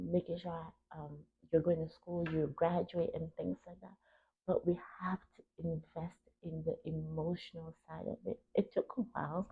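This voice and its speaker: The sound is narrow and phone-like. A young woman speaks in a fairly low, creaky voice. She hosts in a reflective, personal way, earnest and emphatic, breaking her thoughts into short phrases. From Cameroon. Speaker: podcast host. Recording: tinny phone-like narrow band.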